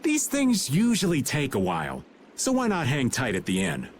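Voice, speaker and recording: A man's voice: mid-range voice, wildly swooping pitch, moderate pace, slight background noise. A man playing a roguish, easygoing middle-aged guild veteran in a video game, with a teasing, folksy manner. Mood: breezy, wry. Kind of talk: animated video game dubbing.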